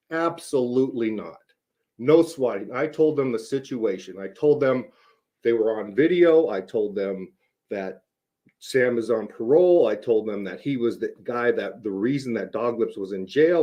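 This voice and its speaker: A man with a mid-range voice and a mellow, rounded tone delivers an unscripted rant. He is blunt and insistent, repeats short phrases for emphasis, and swears casually as he makes his points. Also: mid-range voice. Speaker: online video host. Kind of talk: unscripted rant to camera, addressing a rival.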